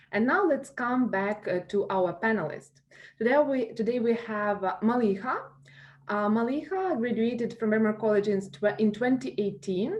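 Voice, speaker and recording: A woman's voice: medium pitch, a little mellow. A woman with a Ukrainian accent moderating a roundtable Q&A, speaking with many fillers and restarts. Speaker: moderator of a school alumni panel. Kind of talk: hosting a roundtable Q&A.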